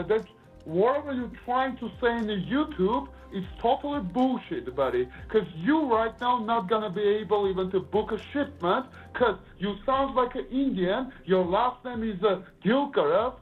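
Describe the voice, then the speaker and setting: A man's voice: high-pitched, animated, normal speed, faint music behind. A man with an Eastern European accent and broken English, a freight broker calling to argue aggressively. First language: not English. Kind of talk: confrontational phone call. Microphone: phone. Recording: tinny phone line.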